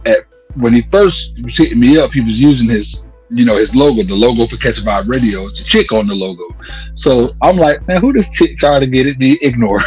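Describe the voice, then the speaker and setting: A man's voice: mid-range voice, fairly quick, darkish tone, lo-fi sound. A man talking casually in an interview, slangy and conversational, telling stories as a radio host. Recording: music playing behind the voice. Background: music.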